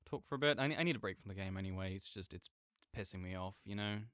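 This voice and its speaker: A man plays an easygoing, apologetic gamer roommate in a late-night audio roleplay. His voice is clear and smooth, captured dry and close. He talks in a casual, rambling way, often doubling back on himself with mild exasperation. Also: deep voice, fast talker, fairly bright tone, dry recording. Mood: mildly annoyed. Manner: rambling, hesitant, self-interrupting, mildly exasperated. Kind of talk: casual improvised-sounding audio roleplay monologue.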